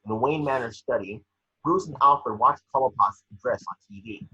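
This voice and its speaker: A very husky man narrates screenplay action with a vivid storytelling delivery. His tone is sharp and forceful. The recording sounds a bit dull and lo-fi. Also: mid-range voice, normal speed. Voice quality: husky, raspy. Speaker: scene description reader. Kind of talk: narrating a screenplay table read. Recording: dull lo-fi call audio.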